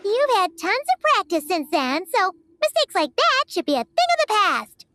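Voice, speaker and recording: A woman voicing a small, excitable fairy companion in a video game, with a squeaky childlike voice. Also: moderate pace, slight background noise, heavily acted. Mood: chatty. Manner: exclamatory, refers to self in third person. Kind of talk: anime-style game voice acting.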